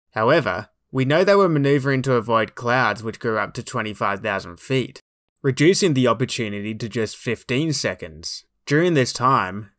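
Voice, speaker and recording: A man reading scripted documentary narration in a measured, formal, explanatory way. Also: medium pitch, fairly bright tone, no room sound, clean studio sound.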